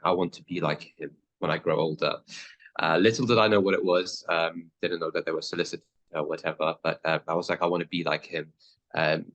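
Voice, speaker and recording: A man's voice: low voice, fairly bright tone. A man in his thirties, a lawyer guest, answering interview questions hesitantly with many fillers and restarts. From born in Pakistan, raised in the Netherlands.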